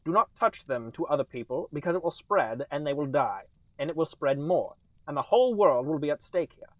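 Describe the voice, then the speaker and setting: Male doctor character, acted by a man, comic story, high-pitched, calm, grave, formal deliberate phrasing, dry sound. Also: no room sound. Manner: stiff, deliberate, formal phrasing without contractions. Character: calm but grave male doctor breaking bad news.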